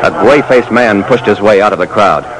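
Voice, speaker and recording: A man's voice: on the low side, a little fast, very noisy, close to an everyday voice. An actor playing a wry, slangy detective who narrates his case in a clipped old-time radio style. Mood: wry, narrating. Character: dry, soft-hearted male detective telling his case. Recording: vintage radio broadcast.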